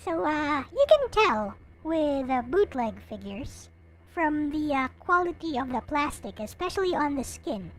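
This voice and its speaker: High-pitched, animated woman livestreamer. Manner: halting, muttering to self while setting up. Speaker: livestream host. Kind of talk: casual chat with livestream viewers.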